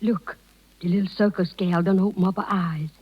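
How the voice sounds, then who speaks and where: An actress playing a motherly, excitable housekeeper with a Southern US accent in a 1930s radio drama. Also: fairly low pitch, normal speed, breathy edge, long reverb tail. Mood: excited. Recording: muffled 1930s radio broadcast.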